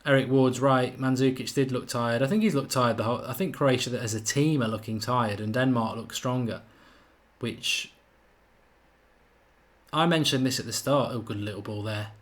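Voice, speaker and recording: A man with a faint Irish accent hosts a live football watchalong. His voice sits at an ordinary middle pitch, and he talks casually, thinking aloud as he goes and reading out comments from viewers. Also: moderate pace. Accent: slight Irish. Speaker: host of a live match watchalong.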